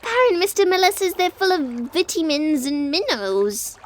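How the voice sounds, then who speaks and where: A woman's voice: very high-pitched, sing-song, normal speed. A woman voicing an innocent, playful young unicorn filly, chatty and earnest, in a dramatic story reading. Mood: enthusiastic. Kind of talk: dramatic reading of fan fiction.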